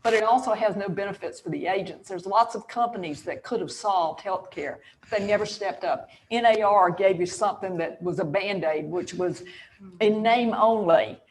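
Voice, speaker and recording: A woman's voice: mid-range voice, moderate pace, a little hiss or hum. A woman in her sixties speaking candidly and emphatically on a panel about her career. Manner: emphatic and candid, laughs between points. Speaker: real estate agent on a panel. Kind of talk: panel talk, personal story.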